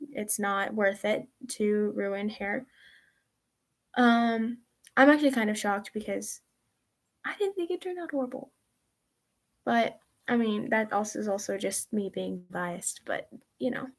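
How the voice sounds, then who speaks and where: A woman's voice: mid-range voice, measured pace. A teenage girl in a casual vlog, answering viewer questions in a chatty, rambling way with lots of ums and likes. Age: teens. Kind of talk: casual chat.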